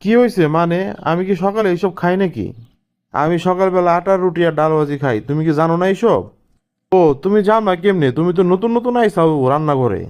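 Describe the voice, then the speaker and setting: Exasperated young cartoon husband played by a man, high-pitched, quick scolding delivery, Bangladeshi Bengali accent. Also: lively intonation, a little mellow. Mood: scolding, fed up. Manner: scolding, questioning. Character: exasperated young husband, loyal to his family. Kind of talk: cartoon family comedy drama.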